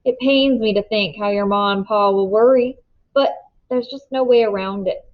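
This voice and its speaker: A woman voicing a gentle, weary country mother in a storybook, plain-spoken and folksy. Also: medium pitch, moderate pace, lo-fi sound, lightly acted. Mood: earnest, reassuring.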